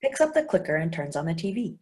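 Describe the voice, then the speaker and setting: A woman's voice: fairly low pitch, very animated, fast talker, a little mellow. A woman reading stage directions evenly in a staged play reading.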